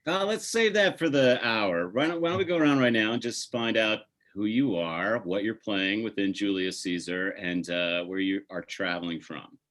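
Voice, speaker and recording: A man with a low-pitched voice hosts an online panel discussion. He speaks in loose, rambling sentences, circling toward his point as he moderates. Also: normal speed, hardly any pauses, a bit bright. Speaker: host and artistic director.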